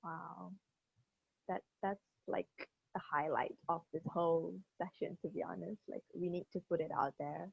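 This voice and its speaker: A woman's voice: fairly low pitch, steady tone, moderate pace, creaky, husky, no room sound. A young woman from Malaysia, interviewing a guest in an effusive way, full of "like" and "you know". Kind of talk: casual interview over a video call. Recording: dull dry lo-fi call audio.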